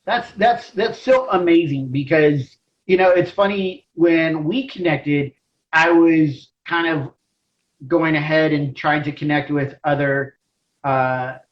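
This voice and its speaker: A man hosting a casual interview, speaking in a halting way and often repeating his phrases. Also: on the high side, dull audio. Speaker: podcast host, interviewer.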